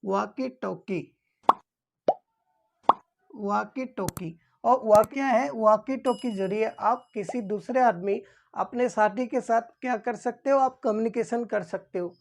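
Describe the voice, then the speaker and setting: A woman's voice: fairly high pitch, slow, vocal fry, a little hiss or hum. A woman explaining things as a teacher, repeating key terms as if dictating them. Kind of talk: explanatory lesson for security guards.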